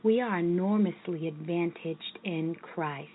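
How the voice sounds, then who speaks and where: A woman's voice: on the low side, a little slow. A woman delivering a scripted inspirational message on a lo-fi recording. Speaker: inspirational speaker. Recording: noisy, dull lo-fi recording.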